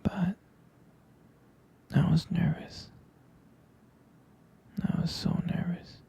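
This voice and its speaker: A man speaking in a breathy, husky whisper, tender, soothing and halting, as a boyfriend in a bedtime ASMR roleplay, close to the microphone. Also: a little high, fairly even intonation, dark tone. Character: tender, protective boyfriend lulling partner to sleep.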